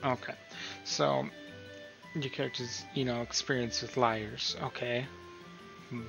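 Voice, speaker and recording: A man's voice: mid-range voice, fairly even intonation, measured pace, vocal fry. A man giving a casual screen-recorded tutorial, thinking aloud informally and often checking in with the listener. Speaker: tutorial host. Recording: dull, lo-fi sound with music.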